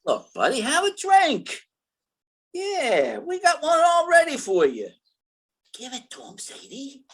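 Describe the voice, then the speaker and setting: A man's voice: very high voice, wildly swooping pitch, a little slow, fairly bright tone, full character voice. A performer playing a dim, lovestruck male gangster in an old-time radio play, stammering and bumbling in slangy talk. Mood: pushy, impatient.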